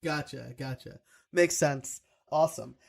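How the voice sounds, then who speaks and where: A man from New York hosting a podcast, asking casual questions and answering with quick, upbeat affirmations. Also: on the high side, gravelly. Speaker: podcast host.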